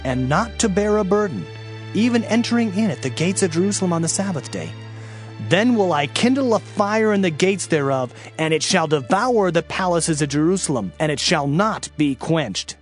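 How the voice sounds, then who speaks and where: A man giving a dramatized scripture narration as an audio Bible narrator, solemn and declamatory with deliberate phrasing. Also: high voice, expressive. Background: background music.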